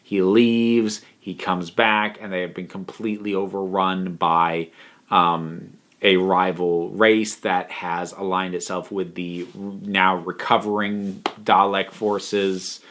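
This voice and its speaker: A man giving a casual, opinionated review, leaning with deliberate emphasis on key words. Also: deep voice, flat delivery, fairly bright tone.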